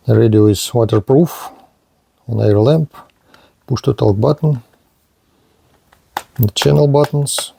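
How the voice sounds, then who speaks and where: A man giving a hands-on product demonstration in halting short phrases, with non-native English phrasing. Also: mid-range voice, gravelly, warm tone, breathy edge. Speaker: radio reviewer.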